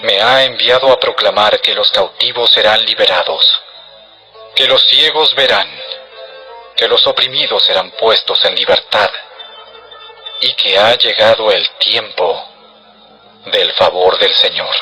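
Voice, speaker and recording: A man's voice: on the low side, unhurried, long pauses, bright, forceful tone, soft background music, low-quality recording. An actor playing an authoritative, solemn male preacher who teaches in emphatic, declamatory lines in an audio Bible drama. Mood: solemn proclamation.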